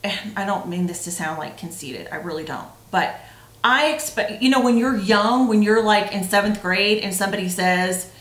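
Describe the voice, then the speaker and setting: A roomy echo surrounds this woman in her forties as she co-hosts a casual vlog. Her pitch is average for a woman. She speaks frankly, with emphasis. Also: normal speed. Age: 40s. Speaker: vlog co-host reading questions. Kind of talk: casual chat. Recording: roomy, echoey sound.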